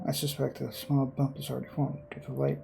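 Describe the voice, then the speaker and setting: A man's voice: mid-range voice, completely flat delivery, fairly quick, gravelly, mellow, a little hiss or hum. A man hosting a game video, reading visual novel text aloud dramatically with casual commentary and some stumbles, game music behind him. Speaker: gaming video host.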